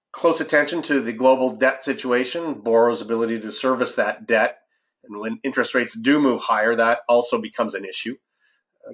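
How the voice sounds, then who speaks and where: A man giving measured, somewhat halting financial market commentary on a conference call as an investment adviser. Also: mid-range voice, fairly bright tone. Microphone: conference call line. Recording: dull, lo-fi conference call audio.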